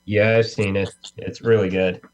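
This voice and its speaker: A man's voice: lowish voice, flat delivery, moderate pace, darkish tone. A man being interviewed as a guest, giving short, slightly hesitant answers in casual speech. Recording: muffled lo-fi sound with mains hum.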